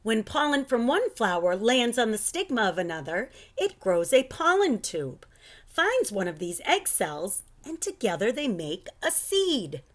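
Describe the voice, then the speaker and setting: Woman voicing studious schoolgirl, lively and high. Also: unhurried. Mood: eager. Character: studious, eager schoolgirl explaining things.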